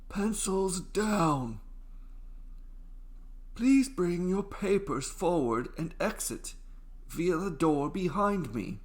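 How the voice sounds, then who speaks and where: A man voicing an old professor with a slow, drawn-out, formal manner in a storybook reading. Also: high-pitched, expressive, darkish tone, voice pushed up. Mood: stern, formal.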